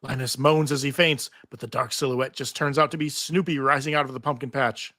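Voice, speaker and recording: A man's voice: mid-range voice, fairly even intonation, a little fast, sharp tone, no echo, studio quality. A man narrating stage directions at a live table read.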